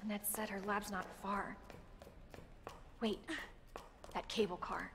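A woman's voice: medium pitch, little pitch movement, slow, creaky, noisy background. Caring, gutsy young woman. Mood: encouraging, tense. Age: young adult. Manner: reassuring, earnest. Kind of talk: naturalistic video game cutscene acting.

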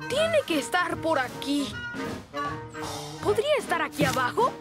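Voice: very high-pitched, big pitch swings, unhurried, loud music behind the voice, heavily acted. An actor voicing an earnest young moose boy in a children's cartoon, puzzled and questioning. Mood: puzzled, searching. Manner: questioning, bemused.

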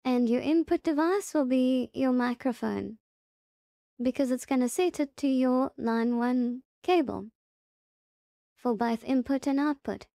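Girl doing a step by step pc tutorial, high soft mellow voice, super dry sound. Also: unhurried, vocal fry, dry recording. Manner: step-by-step instructing, repeats reminders. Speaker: tutorial presenter. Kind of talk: casual software tutorial narration.

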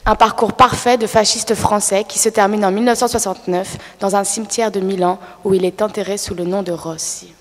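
A woman's voice: mid-range voice, steady tone, fairly quick, hardly any pauses. A middle-aged woman reading a text aloud at a panel table in a large hall. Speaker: reader at a panel. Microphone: table microphone. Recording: long hall reverb.

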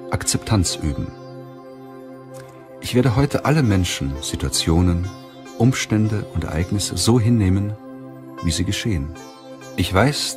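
Deep-voiced man narrating an audiobook. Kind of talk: scripted audiobook narration of a self-help book.

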